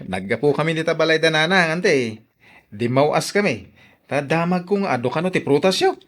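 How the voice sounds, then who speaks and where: A man's voice: a little high, expressive, moderate pace, natural voice. A man with an Ilocano accent playing a friendly, easygoing visiting nephew in a radio drama. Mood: cheerful.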